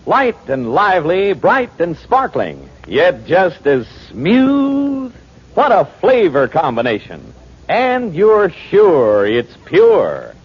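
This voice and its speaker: Man, 1940s radio commercial announcer, high husky voice, dull recording. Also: huge pitch swings, slow.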